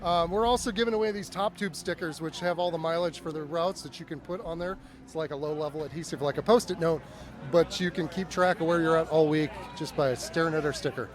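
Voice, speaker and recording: A man's voice: on the high side, a little fast. A man representing a sponsor booth, giving upbeat, promotional answers in a casual interview. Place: outdoors at an event booth.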